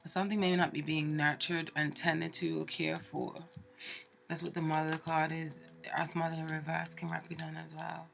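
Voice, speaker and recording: A woman's voice: low-pitched, level tone, moderate pace, creaky, a bit bright, soft background music. A woman with a cold, sounding stuffed up, giving a chatty tarot reading with plenty of fillers and restarts. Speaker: tarot reader. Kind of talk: casual tarot card reading.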